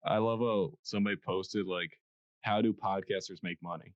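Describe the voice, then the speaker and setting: A man with a deep voice hosting a podcast in a casual, joking way, talking close to a dynamic studio mic. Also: steady tone, moderate pace, no room sound. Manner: laid-back, joking, filler-heavy. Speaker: podcast host. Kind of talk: casual interview chat. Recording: dull, dry podcast recording.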